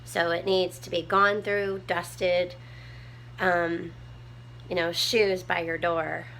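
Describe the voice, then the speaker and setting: A woman with a small, soft voice, chatting casually to her viewers from home with lots of ums while she tidies up. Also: lowish voice, level tone, slow, slight background noise. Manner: rambling, many ums, homespun talk. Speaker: prepping vlogger. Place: home room, tidying a table.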